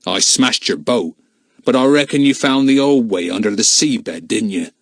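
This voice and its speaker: Villain read by a male narrator: grim, cold, deliberate and threatening, children's adventure audiobook, dry sound. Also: low-pitched, big pitch swings, fairly bright tone, husky, dry recording, pitched below the performer's own voice, heavily acted. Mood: cold menace. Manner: slow, deliberate, threatening delivery.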